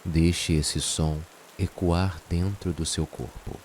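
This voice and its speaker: Deep, slow Brazilian man guiding meditation. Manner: soothing, unhurried, with long pauses. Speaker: meditation guide. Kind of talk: guided meditation narration. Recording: steady rain and hiss behind voice. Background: rain sounds.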